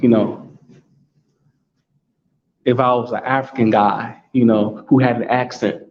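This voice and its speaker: A man's voice: mid-range voice, dull audio, slight background noise. An American man hosting his own talk show, speaking in a solo monologue and driving his points home by saying them again and again. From United States. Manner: repetitive, emphatic, restating points.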